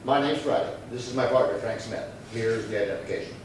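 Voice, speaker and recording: A man playing a matter-of-fact, terse police detective in a 1950s radio crime drama, deadpan and procedural. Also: medium pitch, fast talker, lightly acted. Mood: polite, probing. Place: live theatre stage reading. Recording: echoey hall, distant mic, faint noise.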